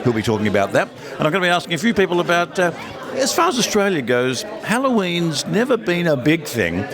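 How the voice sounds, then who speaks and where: A man working as a roving podcast interviewer, chatting casually in a jokey, rambling way in a pub. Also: medium pitch. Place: busy pub. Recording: busy pub chatter behind.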